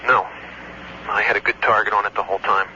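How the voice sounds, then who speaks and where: A man, an air traffic control supervisor, recounting an incident in a matter-of-fact, halting way on an old taped phone call. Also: on the low side, steady tone. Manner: matter-of-fact, halting recall with technical detail. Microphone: telephone.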